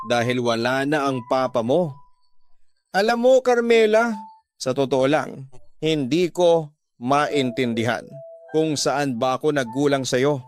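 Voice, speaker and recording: Man acting a hurt, stern mother, raised pitch. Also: smooth. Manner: reproachful, emotional. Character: hurt, stern mother scolding her daughter. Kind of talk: Tagalog radio drama dialogue.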